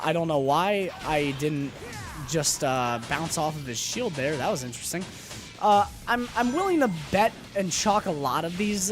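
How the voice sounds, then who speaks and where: Man streaming a game, voice going hoarse. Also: on the high side. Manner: rambling and upbeat, with frequent fillers and restarts. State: losing his voice. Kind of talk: live gameplay commentary. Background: loud game music.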